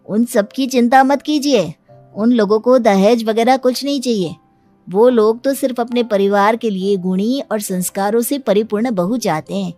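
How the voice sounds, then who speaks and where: A woman's voice: on the high side, moderate pace, warm tone, close to an everyday voice. A woman voicing an eager, reassuring matchmaker in a moral story. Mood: persuasive. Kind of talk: moral story character dialogue. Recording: music behind the voice.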